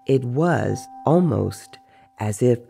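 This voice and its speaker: A man reading a dramatized story in a measured, deliberate narration. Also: mid-range voice, expressive, vocal fry, mellow, soft background music. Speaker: narrator reading book excerpts.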